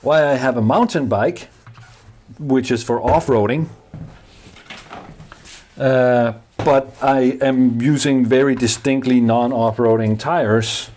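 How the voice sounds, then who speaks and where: A man's voice: mid-range voice, steady tone, darkish tone, slight background noise. Danish-accented man chatting while fixing a bike. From Denmark. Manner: halting, many fillers and restarts, chatty asides. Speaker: DIY maker vlogger. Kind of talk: casual talk while repairing a bike.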